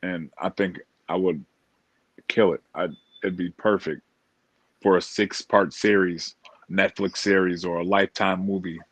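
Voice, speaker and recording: An American man chatting casually and earnestly as an interview guest, informal and a little halting. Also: sits low, steady tone, fairly bright tone, dry recording. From Springfield, Massachusetts, USA. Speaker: interview guest, motivational speaker.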